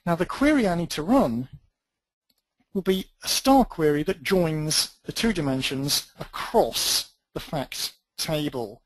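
A man's voice: fairly high pitch, expressive, slow pace, no echo, rough recording. A man teaching a database tutorial, thinking aloud as he types through a live demo with dry, self-deprecating asides. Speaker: database instructor.

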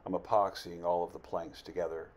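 A man in his fifties giving a casual, plain-spoken, unhurried voice-over as an amateur builder narrating his project. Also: deep voice, level tone, darkish tone. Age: 50s.

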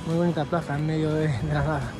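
A man with an accent from Spain and a fairly high voice talks informally as a travel vlogger. He sounds chatty and admiring, recorded on a handheld device outdoors with loud music behind him. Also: steady tone, quick pace. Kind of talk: casual walking travel narration to camera. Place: mostly outdoors in city streets and parks.

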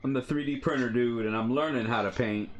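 A man co-hosting a live hobby stream, chatting casually with a relaxed, dry humor. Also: mid-range voice, a little fast, a little hiss or hum. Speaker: livestream co-host and 3D printing hobbyist.

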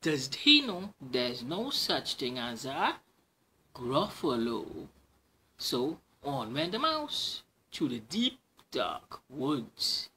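A Trinidadian man reads a children's story with very lively, swooping intonation and a high voice for a man. His delivery is theatrical and slow, dropping into hushed stretches. There is faint noise in the room. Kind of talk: animated picture-book storytelling. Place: small room at home.

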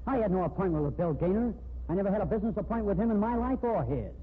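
An actor playing a defensive, indignant jilted suspect in a 1950s radio drama. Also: male voice, high-pitched, expressive, very fast. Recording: tinny, noisy 1950s radio recording.

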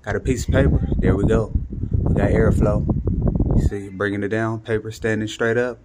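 A man's voice: lowish voice, fairly even intonation, moderate pace. A man talking casually and in slang to viewers as a handyman explaining a home repair, recorded on a handheld phone indoors. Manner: colloquial slang, informal catchphrases. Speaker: handyman vlogger and HVAC technician. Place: indoors at home beside air-conditioning equipment. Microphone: handheld phone behind the camera. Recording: noisy handheld phone audio with clicks.

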